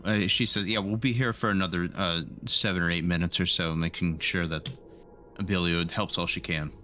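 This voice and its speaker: Deep, bright-voiced man playing a tabletop game. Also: steady tone, moderate pace, faint music behind. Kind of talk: casual chat, describing a character's actions.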